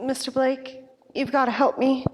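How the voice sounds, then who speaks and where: Noir parody nightclub singer, female actor: high voice, jittery, pleading, faltering helpless-dame delivery. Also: little pitch movement, moderate pace, a little hiss or hum, close to an everyday voice. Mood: anxious pleading. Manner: hesitant, imploring. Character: nervous, pleading female nightclub singer, a femme fatale client. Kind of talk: 1940s radio noir parody acting.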